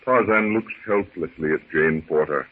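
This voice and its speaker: A man narrating a 1930s radio adventure serial in a dramatic, measured storytelling manner. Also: a bass voice, slight background noise. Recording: very muffled 1930s radio recording.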